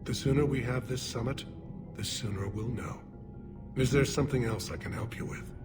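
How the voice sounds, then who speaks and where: An actor playing a weary, proud alien military leader in a video game, speaking formally and with solemn care. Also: male voice, medium pitch, normal speed, music in the background, lightly acted. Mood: formal, firm. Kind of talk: scripted game dialogue.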